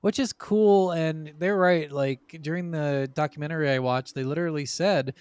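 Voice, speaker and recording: A man's voice: fairly high pitch, normal speed, darkish tone, clear, resonant tone, no room sound. A man co-hosting a casual gaming podcast, chatting bluntly and swearing freely. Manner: blunt, profane. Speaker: podcast co-host.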